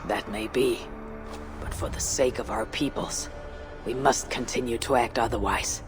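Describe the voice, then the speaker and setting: A man voices a steadfast Viking clan warrior in a game drama. The voice is mid-pitched and composed, with short, measured phrases. Music runs softly underneath. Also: fairly even intonation, close to an everyday voice. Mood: solemn, earnest. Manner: measured, terse, stoic. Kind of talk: dramatic video game cutscene acting.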